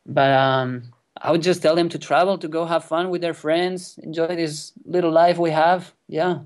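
A young man in his twenties, a non-native English speaker whose first language is Catalan, telling a wild kayaking story as a guest, excited and swearing freely. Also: fairly high pitch, normal speed. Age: 20s. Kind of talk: casual storytelling interview. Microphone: remote call.

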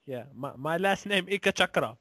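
A man voicing a pleading immigrant cow seller with an Indian accent and halting broken English on a prank call. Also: a little high, lively intonation, sharp tone, no room sound. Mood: earnest, halting. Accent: put-on Indian accent.

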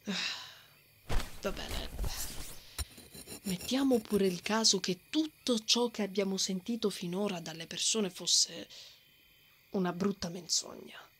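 A woman's voice: mid-range voice, slow pace, pauses often, fairly bright tone, slight background noise. A woman playing a brave, stubborn and protective female knight in a fantasy ASMR roleplay. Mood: stubborn, defensive. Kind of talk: ASMR romantic fantasy roleplay, acted monologue.

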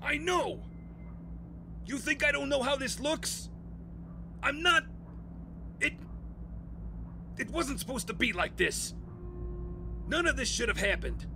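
A man acts out a paranoid, tormented veteran in an audio drama. His voice is high and gravelly, slow and halting even as he rants in agitation. There is clear noise behind him. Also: heavily acted. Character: paranoid, anguished war veteran holding children hostage.

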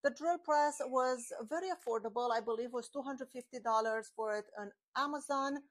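A slightly high-voiced woman in her forties walks through a woodworking build in a casual, chatty way. She talks while she handles boards and glue, her clip-on mic catching her in a home workshop with the garage door open. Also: moderate pace. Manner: chatty, explains while working with her hands. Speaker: woodworking channel host. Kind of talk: step-by-step workshop tutorial. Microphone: small clip-on mic on her shirt.

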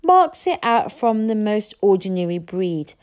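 A woman's voice: medium pitch, big pitch swings, a little slow, darkish tone. A woman voicing an earnest boy who explains things with solemn expert airs, in a storybook reading. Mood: respectful lecturing.

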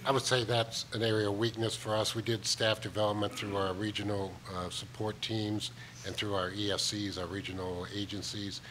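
A man's voice: lowish voice, level tone, moderate pace, a bit bright, poor audio. A man with an American accent giving an unscripted answer as a conference panelist. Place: large conference ballroom stage. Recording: live conference PA with faint room noise.

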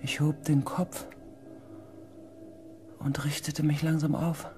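A man playing a shaken, earnest expedition pilot in a science fiction radio play, with weighty, hushed dramatic delivery. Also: fairly high pitch, flat delivery, takes it slowly, soft background music. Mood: hushed, tense.

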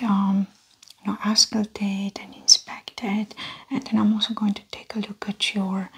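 Soft whispering woman, ASMR doctor. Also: mid-range voice, slightly rough, poor audio. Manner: gentle, unhurried, explaining each step. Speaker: ASMR performer playing a doctor. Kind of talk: soft-spoken ASMR medical exam roleplay.

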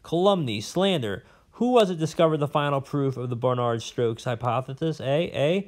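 A man's voice: mid-range voice, animated, normal speed, darkish tone, voice pushed up, lightly acted. A man voicing a pompous, rambling old male professor in an audiobook, blustering and fussy. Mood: boastful. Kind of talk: audiobook character voice.

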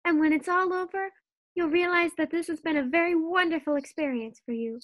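A woman acting a brisk, affectionate, teasing housewife in a 1940s-style radio sitcom. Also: very high-pitched. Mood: wry, reassuring.

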